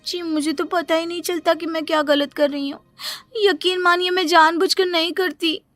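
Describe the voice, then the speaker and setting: A woman's voice: very high-pitched, fairly even intonation, normal speed, a bit bright, no room sound, soft background music, voice pushed up. A woman voicing a naive, blunt, truthful young housewife in a cartoon story, with an innocent, apologetic manner.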